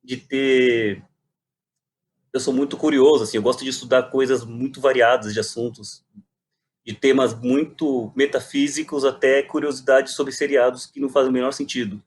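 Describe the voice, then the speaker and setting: A man's voice: medium pitch, fairly even intonation, normal speed, a little hiss or hum. A man, telling his story reflectively and animatedly in a conversational interview. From Brazil. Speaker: guest, playwright and poet.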